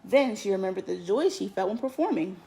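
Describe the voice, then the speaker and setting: A woman teacher reading a picture book aloud in an expressive, lilting storytelling manner. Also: medium pitch, a little fast, vocal fry. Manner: expressive, lilting storytelling with lifts on key words.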